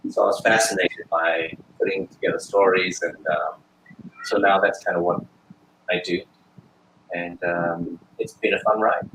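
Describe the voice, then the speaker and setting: A man in his forties speaks in a low, unhurried voice, pausing and restarting as he thinks. The room around him rings with echo. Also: fairly even intonation, fairly bright tone, a little hiss or hum. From Australia. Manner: thoughtful, hesitant, many fillers and restarts. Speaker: guest actor and filmmaker. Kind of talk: casual interview answers.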